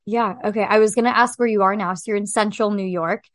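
A woman's voice: medium pitch, fairly even intonation, fast talker, dry recording, studio quality. A woman hosting a podcast, chatting in an upbeat, casual way and affirming her guest often. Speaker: podcast host. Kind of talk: casual interview chat.

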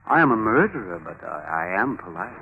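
A man's voice: deep voice, very animated, a little fast. An actor playing a meek, polite man who blusters and falters while claiming to be brutal, in old radio drama style. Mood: indignant boasting. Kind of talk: 1950s radio drama acting. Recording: tinny, hissy old radio recording.

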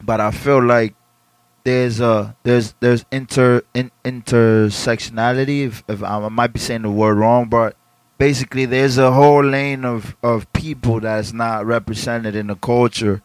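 A man's voice: mid-range voice, level tone, moderate pace, smooth, no room sound. A man from the Dominican Republic, a guest rapper in a casual podcast interview, talking reflectively and searching for words with restarts.

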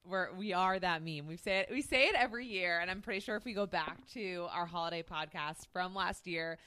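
A woman with a slightly low voice co-hosts a casual podcast. She chats informally and tells stories with a giggly, loose delivery full of filler words. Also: brisk, pushed and bright. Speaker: podcast co-host. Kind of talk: casual chatty banter.

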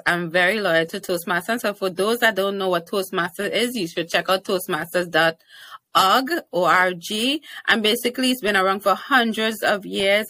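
A woman's voice: mid-range voice, pushed and bright. A young woman from Trinidad and Tobago with a Trinidadian accent, a confident and playful podcast guest who laughs often in casual interview chat. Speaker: podcast guest, TV host and speaker.

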